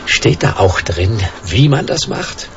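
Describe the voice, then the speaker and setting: An actor playing a world-weary private detective of the future in a radio drama, dry, laconic and sardonic, narrating in noir style. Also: male voice, fairly low pitch, sing-song, slow, over music. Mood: terse, dry. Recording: dull, noisy radio drama recording.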